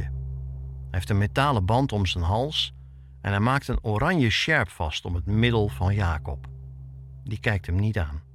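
A man narrating a scripted documentary podcast as its reporter, telling the story in short, measured phrases. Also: lowish voice.

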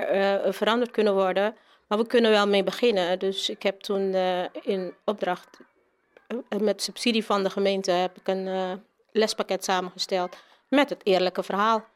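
A woman's voice: mid-range voice, level tone, moderate pace, smooth. A woman, a teacher, giving spontaneous interview answers with hesitant, repeated phrasing.

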